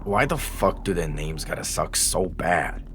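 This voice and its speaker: Man playing a very deep-voiced weary veteran cop. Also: expressive, measured pace, a bit bright, a little hiss or hum. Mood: jaded. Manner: wry, profane, world-weary. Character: weary, cynical veteran male police detective. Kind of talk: audio drama acting.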